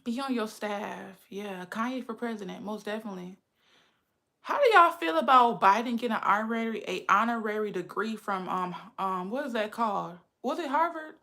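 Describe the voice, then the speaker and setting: Chatty woman with a gravelly lisp. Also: mid-range voice, lively intonation, normal speed, bright, forceful tone. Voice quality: says she has a lisp. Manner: chatty, talks straight to her viewers. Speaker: livestream host. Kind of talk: casual livestream chat with viewers.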